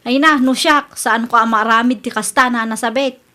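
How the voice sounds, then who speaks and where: Gossipy, easily embarrassed Ilocano wife, very high voice, radio comedy drama, played by a woman. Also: fairly even intonation, moderate pace, pushed and bright. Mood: indignant, mocking.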